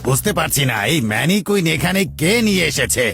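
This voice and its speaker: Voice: medium pitch, animated, quick pace, gravelly, a bit bright. A performer voicing an irritated, harried grown man in a cartoon, grumbling with exasperation. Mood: annoyed. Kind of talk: cartoon voice acting.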